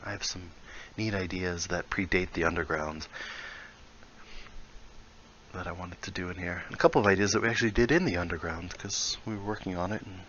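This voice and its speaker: A man's voice: low-pitched, fairly quick, a bit bright, highs cut off, slight background noise. A man casually explaining how he builds in a VR game, thinking aloud and muttering small asides as he works. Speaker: VR building tutorial creator. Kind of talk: casual tutorial while building in VR.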